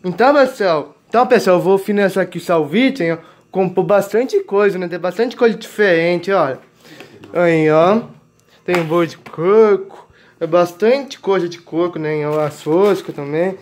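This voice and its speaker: A man's voice: high voice, moderate pace. A young man in his late teens with a Brazilian accent, chatting casually and repetitively as he vlogs in a home kitchen. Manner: repetitive, points things out with filler tags. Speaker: vlogger. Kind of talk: casual grocery haul chat. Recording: clear noise, crinkling plastic bags. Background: plastic bags crinkling.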